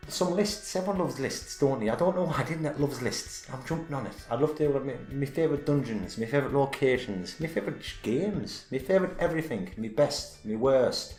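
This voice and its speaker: Deep-voiced man rambling a vlog update. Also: darkish tone. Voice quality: self-described deep voice. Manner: rambling, self-correcting, enthusiastic. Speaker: YouTube channel host. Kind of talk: casual channel update vlog. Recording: roomy echo with faint background music.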